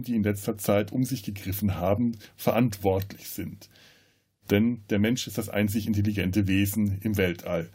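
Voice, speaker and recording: A man's voice: on the low side, dark tone, husky. A man talks about a film as a podcast host in a casual way, often searching for words. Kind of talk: casual film discussion with scene retelling.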